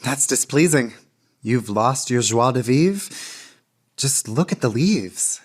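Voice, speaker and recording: A woman's voice: rumbling low, expressive, takes it slowly, a little mellow, slightly rough, heavily acted. A woman playing a devoted, grieving noblewoman in radio theater, speaking with earnest, formal period-drama delivery. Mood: gently chiding. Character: grieving, devoted noblewoman, long-time beloved of a poet.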